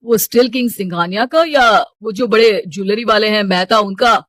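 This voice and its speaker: A man's voice: sits high, a little fast, fairly bright tone, dry recording. Male actor as middle-aged rich father in an audio story, scolding, bossy, close dry recording. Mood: angry. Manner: domineering, scolding.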